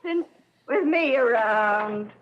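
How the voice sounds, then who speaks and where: An actress playing a snooping older mother-in-law in an old-time radio comedy drama, slow to speak, insinuating and sly. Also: on the high side, animated, background noise. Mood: sly, needling. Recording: dull lo-fi old radio recording.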